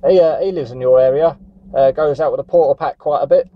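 A man's voice: on the high side, fast talker, no echo. A man chatting and calling for contacts as a CB radio operator. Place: static vehicle on a seafront headland. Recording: dull lo-fi CB radio recording.

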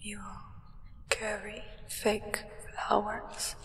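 A young woman playing an aloof, solemn acolyte of a vampire sovereign in a soft whispered ASMR roleplay. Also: mid-range voice, monotone, noisy background. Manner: slow, measured, soft whisper. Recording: reverberant whisper with long echo.

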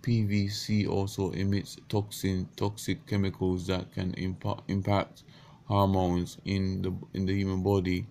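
A man in his thirties with a croaky morning voice, talking unscripted into a webcam in a small bedroom, halting with many restarts and stumbles. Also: sits low, completely flat delivery, a little mellow, a little hiss or hum. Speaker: vlogger. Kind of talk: unscripted casual monologue. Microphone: webcam at close range.

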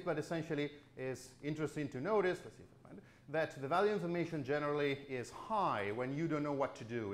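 A man in his forties giving a conference keynote lecture, halting with many fillers and restarts, in a chalkboard lecture room. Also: medium pitch, reverberant. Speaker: keynote speaker.

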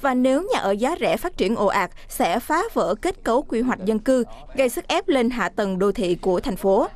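A woman reading scripted news voice-over in a clear, steady way. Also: on the high side, normal speed.

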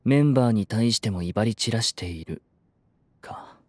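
An actor playing a stern, haughty young male idol student with a formal, measured delivery in a Japanese audio drama. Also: on the low side, lively intonation, darkish tone, breathy edge, dry recording. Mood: dry, cool.

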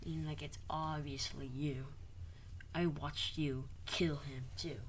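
A woman playing out a game with plush toys, talking in a hushed, mumbling way. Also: low voice, fairly even intonation, takes it slowly, a little hiss or hum. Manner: hushed, mumbling, often whispered. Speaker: toy video maker.

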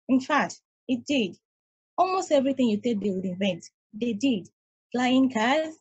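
A woman reading the part of a patient, knowing space probe in a play, measured and explanatory, over a video call. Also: mid-range voice, darkish tone, husky, no room sound, rough recording. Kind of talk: table read of a stage play. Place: home room, over a group video call.

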